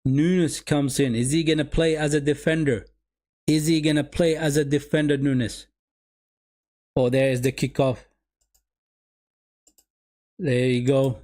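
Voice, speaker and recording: A man's voice: a little high, fairly even intonation, a little slow, pauses often, darkish tone. A man whose first language is Somali, running a live football watch-along and calling the game for his viewers, often repeating his phrases. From Sweden.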